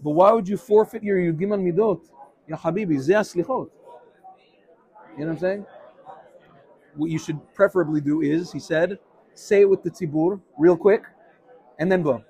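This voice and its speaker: Here is an energetic man, giving a casual Torah class. His voice sits a little high for a man. He keeps jumping between English and Hebrew terms as he explains. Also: brisk, pauses often, poor audio. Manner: animated, mixes English with Hebrew phrases. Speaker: rabbi teaching a class. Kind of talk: informal Torah class with questions.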